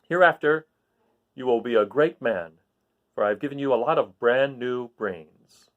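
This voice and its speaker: A man's voice: mid-range voice, normal speed, narrow, tinny audio, natural voice. A man voicing a little humbug wizard in a storybook, courteous and reassuring.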